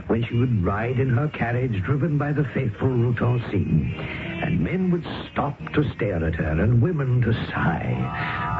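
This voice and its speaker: A man's voice: medium pitch, narrow, tinny audio. An actor playing a worldly, dryly ironic male writer who also narrates a radio drama, on an old broadcast recording. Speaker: narrator and character. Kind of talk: 1970s radio drama acting.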